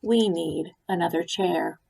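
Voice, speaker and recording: A woman voicing a bossy, organized young girl bunny, speaking brightly and with emphasis. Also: fairly low pitch, slow, vocal fry, breathy edge, heavily acted.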